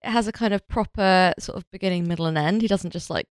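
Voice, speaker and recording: A woman's voice: lowish voice, fast, dry recording, clean studio sound. A British woman co-hosting a podcast, playful and giggly in casual comedic chat. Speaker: podcast co-host.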